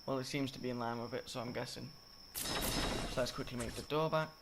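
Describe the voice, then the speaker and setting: A croaky, slightly sick young man rambling through a game-building tutorial, often correcting himself. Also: medium pitch, fairly even intonation, fairly quick. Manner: rambling, self-correcting, filler-heavy. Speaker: gaming tutorial creator. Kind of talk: casual gameplay tutorial commentary. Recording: loud game noise behind. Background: game sound effects and clicks.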